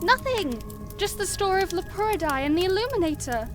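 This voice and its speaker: A woman's voice: very high-pitched, very animated, a little fast, over music. A woman playing a small, sweet, shy rabbit child in a fantasy game, meek and childlike. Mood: shy, trusting. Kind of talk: video game voice acting.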